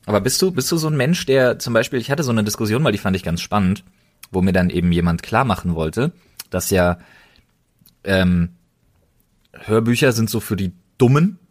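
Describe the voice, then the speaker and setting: Man, fairly low voice, informal podcast chat, colloquial wording. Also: moderate pace, a little mellow. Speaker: podcast co-host. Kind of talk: casual chat.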